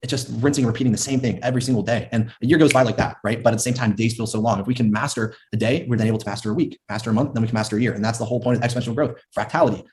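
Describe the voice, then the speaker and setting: A man's voice: mid-range voice, fairly even intonation, racing, few pauses, darkish tone. A man hosting a trading course on a video call, encouraging and repeating himself as he talks. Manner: encouraging, repeats words, says bro. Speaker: course host. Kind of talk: casual motivational talk on a video call.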